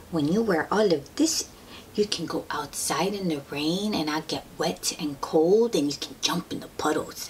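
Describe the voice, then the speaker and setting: Slow, low-voiced woman reading to children. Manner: gentle, drawn-out, expressive, addressing a child. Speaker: children's book read-aloud host. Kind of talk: picture-book read-aloud with questions to young children. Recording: steady noise behind the voice.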